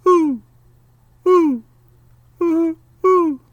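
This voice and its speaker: A man imitating a great horned owl with drawn-out, repeated hoots in a storybook reading. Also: extremely high pitch, dry recording, dull audio, pitched above the performer's own voice, exaggerated voice. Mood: loud calling. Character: hooting great horned owl call, loud and high.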